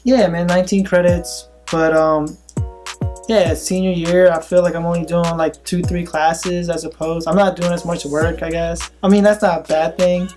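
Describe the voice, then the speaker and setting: A young man in his senior year of college, chatting casually and laughing often, with soft music behind him. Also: a little high, normal speed. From Philippines. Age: young adult. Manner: relaxed, laughs often, says man a lot. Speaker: co-host. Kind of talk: casual chat.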